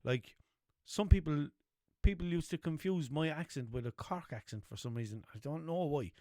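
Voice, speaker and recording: A man's voice: a little high, normal speed, warm tone, no echo, clean studio sound. A young man with a Limerick accent hosting a podcast, rambling casually, swearing freely and checking in with listeners. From Ireland. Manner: chatty, rambling, swears freely, asks listeners "know what I mean". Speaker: podcast host.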